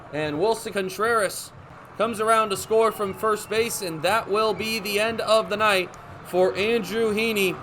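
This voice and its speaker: A man's voice: high voice, a little hiss or hum. A young man calling baseball play-by-play for radio, speaking in short clipped phrases as live commentary. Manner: narrates action in short clipped phrases, building to excited calls.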